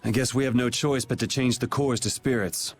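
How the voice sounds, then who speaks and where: A laid-back, cocky young swordsman from an anime game, voiced by a man. He talks informally at a middling pitch, with light music in the background. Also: steady tone, a little fast, lightly acted. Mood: serious. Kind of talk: English dub of anime role-playing game.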